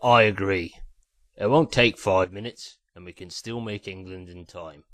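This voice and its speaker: Man acting a low-voiced ship's captain. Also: unhurried, fairly bright tone. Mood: grave. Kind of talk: audio drama acting.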